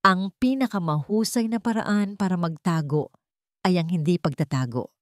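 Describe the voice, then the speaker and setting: A woman narrator plays a calm, steady Filipino man in a romance audio drama. He advises cautiously and firmly, in a dry, close recording. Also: lowish voice, normal speed, no room sound, natural voice. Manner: calm, firm.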